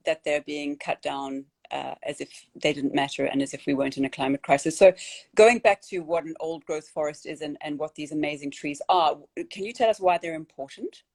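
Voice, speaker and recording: A young woman from South Africa with a South African accent, hosting a casual interview chat. Her voice sits low for a woman, and she speaks earnestly, often stopping and restarting with fillers. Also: a little fast, darkish tone. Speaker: host.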